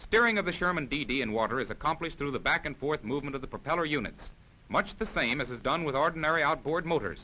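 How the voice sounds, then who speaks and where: A man's voice: a little high, animated, quick pace, bright, forceful tone, low-quality recording. A man reading scripted narration for a 1940s military instructional film in a formal, precise, explanatory manner.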